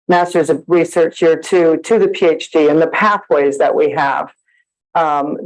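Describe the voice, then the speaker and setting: A woman's voice: lowish voice, darkish tone, rough recording. A woman from the United States, an associate dean, giving a friendly conference presentation with many fillers. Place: conference hall.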